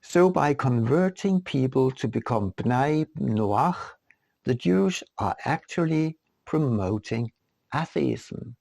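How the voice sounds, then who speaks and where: Man, author-narrator, scripted reading, gravelly fry, very dry close sound, deliberate rhetorical delivery. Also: medium pitch, expressive, darkish tone, no echo. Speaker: author reading own audiobook.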